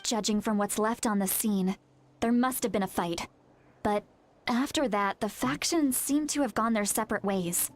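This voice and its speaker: A woman's voice: mid-range voice, moderate pace, a little hiss or hum, lightly acted. A woman voicing a proud, irritable shaman grandmother with a youthful voice in an anime video game, huffy and muttering to herself. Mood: assured.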